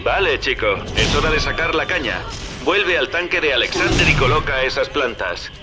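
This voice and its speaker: A man's voice: on the high side, lively intonation, normal speed, loud music behind the voice, natural voice. An actor with a Castilian Spanish accent playing a folksy, wry schemer who guides a younger man in a video game dub. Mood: brisk, scheming. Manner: familiar, wry, directing.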